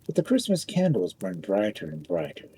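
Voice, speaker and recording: A man reading a fairy tale aloud as a storyteller, dramatic and expressive, drawing out phrases for effect. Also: low voice, normal speed, a little hiss or hum.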